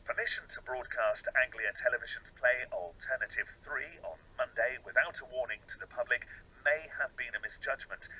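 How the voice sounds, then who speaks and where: A man reads a newspaper report in a formal style. His voice is mid-pitched and very bright and tense, with faint noise in the recording. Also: rough recording.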